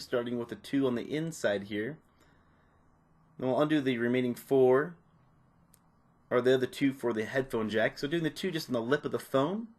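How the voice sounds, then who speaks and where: A man presenting a repair tutorial, giving casual, informal step-by-step hands-on instructions. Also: medium pitch. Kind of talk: casual hands-on how-to narration.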